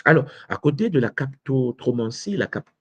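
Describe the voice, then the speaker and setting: A man's voice: medium pitch, animated, normal speed, husky, no echo, lo-fi sound. A man of Congolese origin teaching his followers in a spiritual lecture, often hesitating and stopping to check that listeners follow. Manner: frequent hesitations and rhetorical check-ins.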